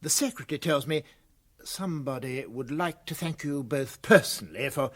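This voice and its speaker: A man playing a well-spoken elderly English prison governor in a comic radio play, polite, understated and gently pompous. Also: on the high side, moderate pace. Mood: self-satisfied.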